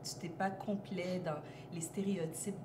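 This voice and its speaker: Low-voiced woman interviewer in an echoey café. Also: steady tone, normal speed, background noise. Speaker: interviewer host. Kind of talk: casual interview.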